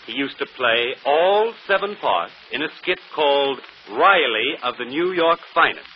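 A man acting self-assured radio detective, very animated, articulate, theatrical, muffled old broadcast. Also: medium pitch, measured pace, a little hiss or hum, natural voice. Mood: confident, explaining. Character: brilliant, self-assured male detective explaining his solution. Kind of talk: 1940s radio drama acting.

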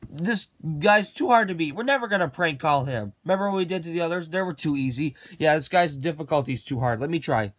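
A man improvising a toy skit with character voices, in casual, halting dialogue. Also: fairly high pitch, lively intonation. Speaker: amateur puppeteer voicing plush toys.

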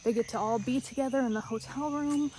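A young adult woman talking casually and excitedly to camera as a vlogger, in informal, chatty speech. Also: sits high, a little fast, slight background noise, rough recording.

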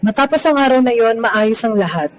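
High-pitched man narrating a story. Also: animated, brisk. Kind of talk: scripted storytelling narration of a student radio drama.

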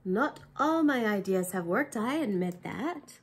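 A woman's voice: mid-range voice, lively intonation, a little slow, breathy edge, voice pushed down. A woman voicing a confident, self-satisfied inventor from a children's picture book, proclaiming in a showy way. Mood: proud.

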